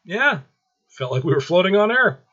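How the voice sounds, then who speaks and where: A man's voice: sits high, big pitch swings, normal speed, sharp tone, husky, dull audio, pitched above the performer's own voice, full character voice. A man voicing a sassy, teasing young woman in a story, with playful exclamations.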